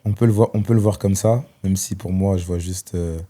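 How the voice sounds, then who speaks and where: Deep-voiced man, reflective. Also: fairly even intonation, fairly quick, mellow. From Belgium. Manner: reflective, hesitant with many fillers. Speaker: guest. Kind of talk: personal testimony in conversation.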